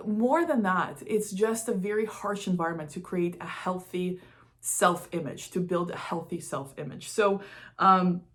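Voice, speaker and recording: A woman's voice: fairly low pitch, measured pace, a bit bright. A woman from Russia talking casually and candidly to her viewers, stressing key words in a confessional vlog style. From Moscow, Russia. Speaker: vlogger. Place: studio, indoors.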